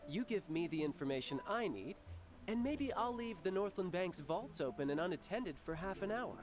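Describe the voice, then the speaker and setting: Lively, smug young schemer. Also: male voice, high-pitched, moderate pace. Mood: smug, breezy. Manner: smooth-talking, playful, teasing. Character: Childe, smug, breezy young male agent, slyly charming. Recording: game music behind the voice.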